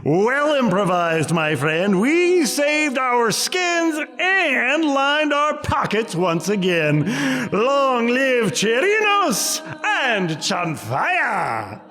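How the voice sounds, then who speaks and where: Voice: medium pitch, big pitch swings, extremely slow, fairly bright tone, faint music behind, full character voice. An actor playing a gleeful, self-satisfied roguish puppet-show swindler, declaiming in a theatrical farce. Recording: reverberant hall-like sound.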